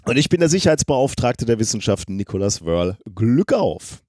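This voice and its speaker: A man's voice: medium pitch, big pitch swings, normal speed, a little mellow, dry recording, clean studio sound. A man hosting a podcast while he has a cold, talking in a rambling, self-mocking way. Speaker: podcast host. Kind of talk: casual podcast chat.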